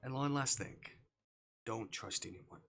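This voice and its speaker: A man plays an older male traveler from a visual novel, chatty but earnest and cautionary. His voice is bright and forward, with light music behind. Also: lowish voice, a little slow. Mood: grave, hushed warning. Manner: hushed, earnest, gentle urgency. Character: older male train passenger, chatty, awkward, gravely cautionary.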